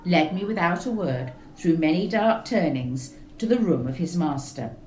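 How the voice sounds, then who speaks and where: A woman narrating a gothic story in a measured, expressive reading. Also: low-pitched, echoey room, a little hiss or hum, poor audio. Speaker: reader of a story. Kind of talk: scripted narration of a gothic tale.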